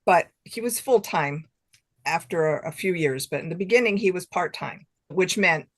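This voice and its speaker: A woman's voice: fairly low pitch, animated, normal speed. An older woman chatting casually and informally as a guest author in an interview, with a giggly streak. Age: late 50s to 60s.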